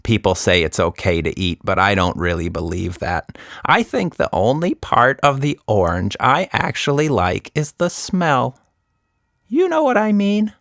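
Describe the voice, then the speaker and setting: A man's voice: mid-range voice, sing-song, measured pace, vocal fry, a bit bright, dry recording, studio quality, pitched above the performer's own voice, heavily acted. A man voicing a fussy, opinionated magical monkey in a children's story, rambling on conversationally. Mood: fussy, chatty.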